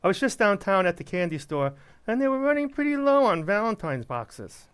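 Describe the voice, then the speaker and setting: Man acting lively, chummy next-door neighbor. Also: sits high, a little fast, smooth. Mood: chatty. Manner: playful, eager, teasing. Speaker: actor in community radio theater. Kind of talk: old-time radio sitcom acting.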